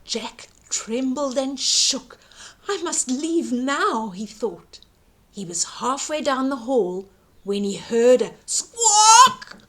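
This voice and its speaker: Expressive, very slow woman storyteller. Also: high voice. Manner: hushed suspense and emphatic, drawn-out words. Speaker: children's storyteller. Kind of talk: dramatic bedtime story read-aloud.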